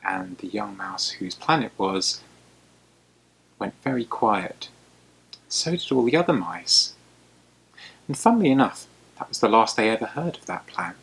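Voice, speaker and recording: A man telling folktales to children in an animated, theatrical way, with dramatic pauses and hushed asides. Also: fairly low pitch, gravelly, low-quality recording. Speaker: storyteller.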